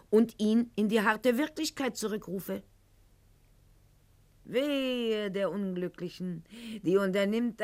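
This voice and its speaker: An actress playing a proud, sharp-tongued aristocratic lady in a radio play monologue, speaking in an articulate, ironic and haughty manner. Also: medium pitch, close to an everyday voice. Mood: indignant, proud. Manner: haughty, ironic, articulate, addressing a silent listener.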